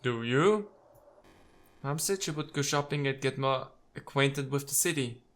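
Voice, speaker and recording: Bright-voiced man doing playful gaming commentary. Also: medium pitch, unhurried. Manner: playful, theatrical, talks to game characters. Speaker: let's play host. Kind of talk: casual gaming commentary with read-out game text.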